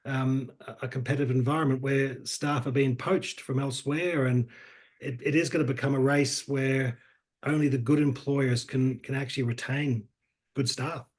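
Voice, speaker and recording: A man's voice: medium pitch, steady tone, moderate pace, husky. Man hosting a webinar, loose and rambling. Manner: loose, rambling, with many fillers and repeated words. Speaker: webinar host. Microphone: video call.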